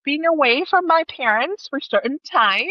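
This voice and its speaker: A woman's voice: high voice, expressive, moderate pace, no room sound, lo-fi sound. A woman from Thailand with a Thai accent, telling personal stories casually as an interview guest in her second language.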